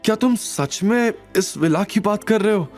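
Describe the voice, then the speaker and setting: A man plays an innocent young woman in an audio drama, pitched high and very expressive. She is girlish and excitable, shy yet a little cheeky, with faint music underneath. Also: normal speed. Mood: hesitant.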